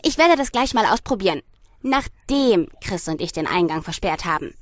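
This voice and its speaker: A woman's voice: medium pitch, lively intonation, moderate pace, natural voice. A woman playing a resourceful girl detective who takes charge, in a children's radio play. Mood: urgent, decisive.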